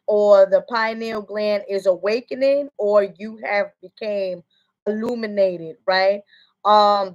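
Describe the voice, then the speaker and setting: A woman's voice: mid-range voice, low-quality recording. An American woman working as a psychic medium, giving a casual livestream reading in a rambling way and often asking "you know what I'm saying". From United States.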